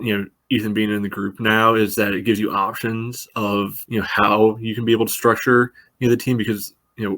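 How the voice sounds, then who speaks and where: A man casually analysing sport as a podcast host, in a halting way peppered with filler phrases. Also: lowish voice, fairly bright tone. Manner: halting, repeatedly peppers speech with "you know".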